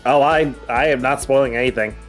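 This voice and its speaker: A man's voice: mid-range voice, fairly even intonation, brisk, over music. A man co-commentating on a stream in casual chat, agreeable and a little hesitant.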